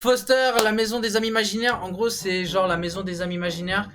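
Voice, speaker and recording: High-voiced man in slangy, enthusiastic banter. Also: fairly even intonation, fast talker, a bit bright, faint music behind. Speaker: co-host of a video channel. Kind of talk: casual banter, ranking cartoons.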